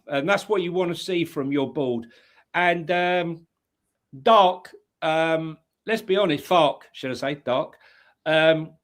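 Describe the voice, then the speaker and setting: A man co-hosting a football news show, rambling with plenty of ums and uhs. His voice is slightly high for a man, and he sounds enthusiastic as he talks. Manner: rambling, full of fillers and restarts, enthusiastic. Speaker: co-host. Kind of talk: casual football chat.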